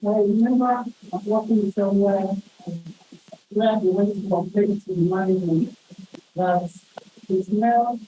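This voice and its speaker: A woman sharing a halting personal anecdote in a workshop, on muffled, hissy audio. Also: medium pitch, steady tone, dark tone.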